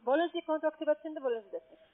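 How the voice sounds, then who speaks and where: A man with a foreign accent arguing as a phone sales agent, halting, repetitive and insistent. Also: extremely high pitch. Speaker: call centre investment agent. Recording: tinny narrow-band phone line.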